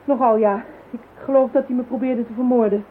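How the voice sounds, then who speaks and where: A woman playing a composed telepath on a police team in a radio drama, brisk and steady. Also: on the high side, lightly acted. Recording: tinny narrow-band radio recording with faint hiss.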